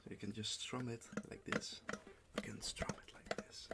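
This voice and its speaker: A man whispering and speaking softly in a gentle ASMR roleplay as a music teacher, repeating words slowly and reassuring the listener. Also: on the low side, flat delivery, vocal fry.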